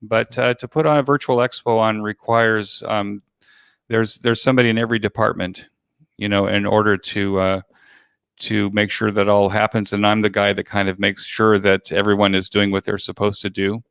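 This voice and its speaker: An older man talks as a guest in a casual interview, his voice fairly low with a strong creak. He phrases things haltingly, doubling back on words. The sound is close and dry. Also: flat delivery, a bit bright, dry recording. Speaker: guest, virtual expo organizer.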